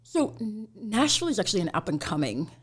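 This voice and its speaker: A woman's voice: medium pitch, sing-song, quick pace, slightly rough. A woman from Somalia, a guest on an interview podcast, talking casually with many "you know" fillers and easy laughter. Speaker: guest, immigration attorney.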